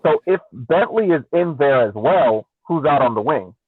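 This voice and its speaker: A man's voice: a little high, expressive, normal speed, husky, no room sound. Man on a thin, phone-like line. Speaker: soccer podcast panelist. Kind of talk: casual post-game sports discussion. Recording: thin phone-like radio sound.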